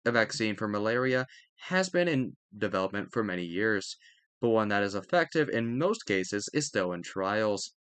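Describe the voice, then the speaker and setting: A man's voice: on the low side, expressive, moderate pace, fairly bright tone, smooth, dry recording. A man reading educational text aloud in a careful way, now and then stumbling and correcting himself. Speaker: narrator reading a history textbook aloud. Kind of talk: read-aloud educational narration.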